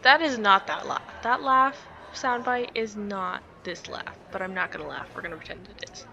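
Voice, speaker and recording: Bright-voiced woman reading a visual novel. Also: mid-range voice, moderate pace, vocal fry, muffled recording. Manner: expressive, theatrical reading. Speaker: let's play streamer. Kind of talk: reading a visual novel aloud with casual reactions.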